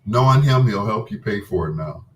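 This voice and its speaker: A man's voice: fairly low pitch, expressive, normal speed, slight background noise, poor audio. A middle-aged man hosting a radio show in a casual late-night chat, laid-back, folksy and teasing with his listeners. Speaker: radio host.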